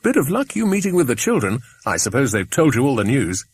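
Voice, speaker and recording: A man voicing a brisk, hearty, commanding English man in an audiobook. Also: medium pitch, big pitch swings, breathy edge, no room sound, pitched above the performer's own voice. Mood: hearty, relieved. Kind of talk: audiobook character voice.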